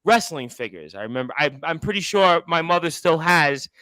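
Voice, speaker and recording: A talkative man hosts a wrestling chat show, his voice sitting a little high for a man. He rambles and circles back on his questions. The sound is dry and close, with no room around it. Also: lively intonation, brisk, bright, forceful tone, dry recording, poor audio. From Brooklyn, New York. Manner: chatty, rambling, repeats himself. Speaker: radio show host. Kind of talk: casual interview chat.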